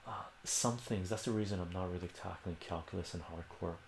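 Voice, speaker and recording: A man's voice: sits low, a little fast, vocal fry, reverberant, a little hiss or hum. An older man whose first language is Armenian, hosting a casual livestream from a home room, rambling and correcting himself as he answers viewers' questions. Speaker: livestream host.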